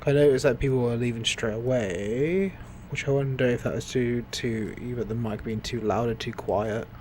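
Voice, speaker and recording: A man streaming a game, with a slightly raspy voice, rambling and thinking aloud into his desk mic. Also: mid-range voice, moderate pace, darkish tone, slight background noise. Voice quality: a bit raspy. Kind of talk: casual live-stream commentary.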